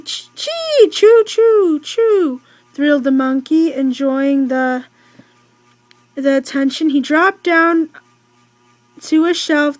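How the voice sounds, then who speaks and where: A young girl reads a children's story aloud in a high voice. She goes slowly and haltingly, tripping on words and fixing them. The sound is close and dry. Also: no echo, rough recording. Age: child or teen, in school. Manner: halting, stumbles over words and corrects herself. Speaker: read-aloud narrator.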